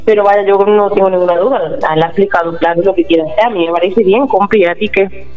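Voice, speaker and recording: A woman's voice: medium pitch, fairly even intonation, quick pace. A woman, chatting in a familiar, casual way as a radio co-host. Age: 30s. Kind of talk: casual chat. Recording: dull radio sound, faint music.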